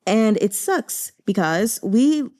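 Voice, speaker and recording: A woman's voice: on the high side, animated, slow, dark tone. A woman hosting a book discussion in a casual, chatty style, speaking informally with slangy, opinionated and emphatic commentary.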